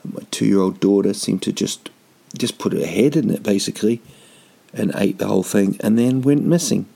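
A man's voice: on the low side, normal speed, gravelly, dark tone, slightly rough. A man in his thirties, rambling through a comic vlog with dry, self-mocking asides and lots of ums. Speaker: vlogger.

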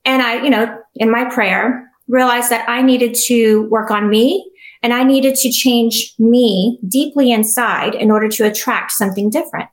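A middle-aged woman with a soft voice, telling her personal story as an interview guest, earnest and reflective with many restarts. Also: on the high side, steady tone, unhurried, echoey room, poor audio.

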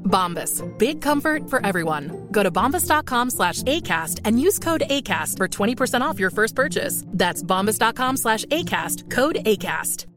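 A woman's voice: on the high side, sing-song, moderate pace, hardly any pauses, music in the background. A woman reading the end of an advertisement in a bubbly, sing-song way. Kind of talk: radio ad read.

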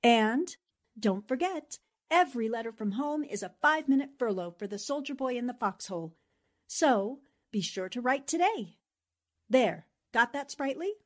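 A woman playing a confident young newspaper columnist in a radio drama, brisk and businesslike. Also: a little high, lively intonation, natural voice. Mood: brisk, cheerful.

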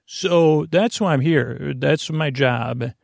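A man hosting a sleep podcast with a creaky voice, talking in a meandering, halting, reassuring way that often repeats and trails off mid-thought. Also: a little high, no room sound, studio quality. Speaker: podcast host. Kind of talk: rambling bedtime sleep podcast intro.

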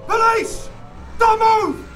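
A screaming riot cop, very high and strained. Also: male voice, slow, a bit bright, faint music behind, exaggerated voice. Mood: aggressive. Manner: barked commands. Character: shouting male riot police officer.

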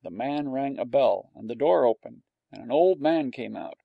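A man's voice: medium pitch, animated, moderate pace, gravelly, no echo, phone-quality sound. A man reading a storybook aloud in a wry storytelling manner. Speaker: audiobook narrator.

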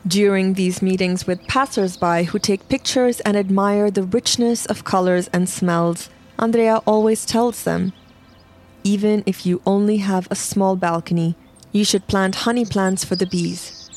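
A woman's voice: mid-range voice, steady tone, a little slow, a little mellow, faint music behind. A woman reads scripted documentary narration as the narrator of a reportage.

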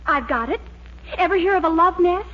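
An actress playing an eager, scheming young sweetheart in a 1940s radio sitcom. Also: female voice, very high-pitched, animated, brisk. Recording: dull, hissy old radio recording.

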